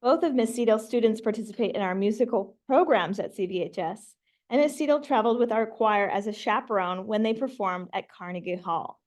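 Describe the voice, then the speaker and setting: Woman, mid-pitched voice, formal prepared speech, award presenter at a meeting. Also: fairly quick, darkish tone. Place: meeting room, speaking at a microphone.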